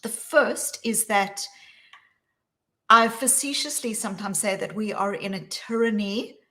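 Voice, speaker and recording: A woman's voice: medium pitch, bright, forceful tone. South african woman talking thoughtfully, earnest, leans on key words. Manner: earnest, measured, emphatic. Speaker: guest, psychologist. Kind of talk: thoughtful interview answer.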